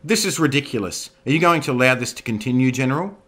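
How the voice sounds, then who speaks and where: A man voicing a female commander who presses accusations forcefully before a tribunal. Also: lowish voice, sing-song, moderate pace, full character voice. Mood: indignant.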